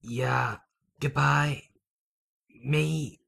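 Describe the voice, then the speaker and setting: A man voicing a starving, emotional young wolf in an anime fan dub, with a gravelly voice and halting delivery. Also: fairly high pitch, steady tone, a bit bright, exaggerated voice. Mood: sorrowful. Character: anguished young male wolf, starving, devoted to his friend.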